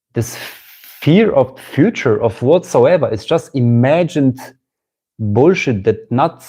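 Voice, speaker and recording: A man with a German accent speaks in a mellow, low-key voice, musing on spiritual ideas during a live stream. He talks slowly and circles back on his phrases, pausing to greet viewers as they arrive. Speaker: spiritual teacher.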